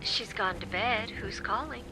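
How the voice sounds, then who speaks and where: A woman's voice: mid-range voice, unhurried, music in the background, lightly acted, low-quality recording. An actress playing an irritated, icily dismissive young woman in a radio drama, clipped and sarcastic. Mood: wary, annoyed.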